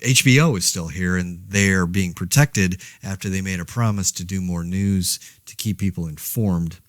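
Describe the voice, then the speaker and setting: Guy acting as a wry rambling podcast dude stuck in the apocalypse, lowish voice, dry close sound, keeps second-guessing himself. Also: normal speed, no room sound, lightly acted. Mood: wry, reflective. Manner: rambling, wry, hesitant, self-correcting. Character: laid-off, rambling New York man podcasting through a zombie outbreak. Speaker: podcast host. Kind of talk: casual podcast monologue in a fiction audio drama.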